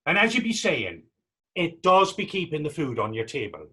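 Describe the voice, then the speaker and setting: A man acts out a young Irish vagrant in a stage reading. His voice is rough-edged and fairly high, and it swings widely up and down. He sounds taunting, sarcastic and defiant, with theatrical dialect phrasing. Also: huge pitch swings, normal speed, pushed and bright, exaggerated voice. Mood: sly, sarcastic. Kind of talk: readers theater stage acting.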